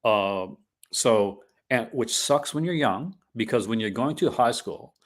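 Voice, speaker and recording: A Canadian man hosting a casual podcast, his accent a blend of regions with a trace of Newfoundland. He wanders through his points, restarting sentences as he goes, at an ordinary middle pitch. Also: lively intonation, a little slow. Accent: mixed Canadian, partly Newfoundland. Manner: rambling, restarts sentences often. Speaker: podcast host. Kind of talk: casual chat.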